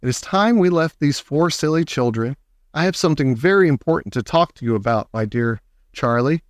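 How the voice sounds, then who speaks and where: A man's voice: a little high, expressive, brisk, no room sound, clean studio sound, close to an everyday voice. Man voicing an eager, eccentric old factory owner. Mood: brisk, dismissive.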